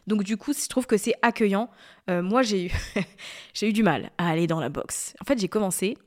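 A woman in her thirties talks to her listeners in a relaxed, confiding podcast chat. She drifts from point to point in a chatty way, at a medium pitch. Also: moderate pace. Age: 30s. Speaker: podcast host. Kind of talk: casual solo podcast talk.

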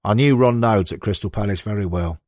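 A man's voice: lowish voice, a little fast, a little mellow, no room sound, studio quality, natural voice. A man reading the interview quotes of a frank football manager, with blunt, emphatic, conversational storytelling in an audiobook. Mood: indignant, candid. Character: frank, animated male football manager reminiscing. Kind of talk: acted interview quotations in an audiobook.

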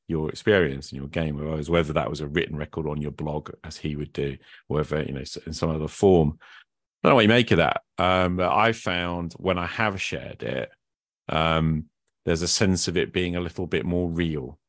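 A man's voice: rumbling low, dry recording, studio quality. A man hosting a podcast, chatting casually and reflectively, thinking aloud as he goes. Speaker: podcast host. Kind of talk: casual conversation about hobby gaming.

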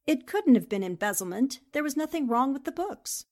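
High-voiced, determined newspaperwoman played by an actress. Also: sing-song, a little fast, darkish tone. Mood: resolute, challenging. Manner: assertive, articulate, polite. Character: determined, principled newspaperwoman investigating a murder case. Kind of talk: 1940s radio drama acting.

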